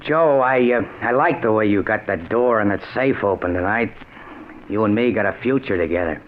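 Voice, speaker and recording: Actor as a low-voiced menacing thug, tinny old radio. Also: male voice, normal speed, close to an everyday voice. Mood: coolly threatening. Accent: American. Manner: tough slangy gangster talk. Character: menacing male criminal, cold-blooded blackmailing thug. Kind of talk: old radio crime drama acting. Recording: tinny, noisy vintage radio recording.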